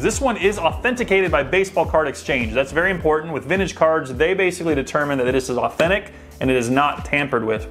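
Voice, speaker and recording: A man's voice: a little high, fairly bright tone. A man hosting a sports card pack opening, chatting casually and thinking aloud as he sorts through the cards. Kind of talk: casual unboxing commentary.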